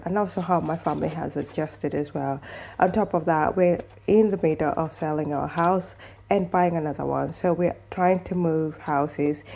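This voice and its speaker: This is a woman from Kenya telling a story casually to her viewers. She speaks low and mellow, and she rambles chattily, restarting her phrases and adding asides as she goes. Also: creaky. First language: mother tongue other than English, also Swahili. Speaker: vlogger.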